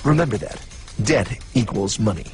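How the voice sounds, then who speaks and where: A man's voice: on the low side, animated, normal speed, close to an everyday voice. A man playing a smug, mysterious cartoon narrator who lectures in the pompous, theatrical manner of an eerie TV anthology host. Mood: smug explaining. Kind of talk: parody of scripted TV anthology narration. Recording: music behind the voice. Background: music.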